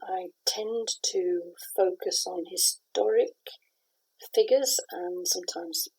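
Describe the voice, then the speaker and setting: A middle-aged woman from the west of Scotland talks through her work in a husky, fairly low voice. She answers in a thoughtful, measured way, with pauses and restarts. She sounds like a playwright giving an interview. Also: steady tone, darkish tone, poor audio.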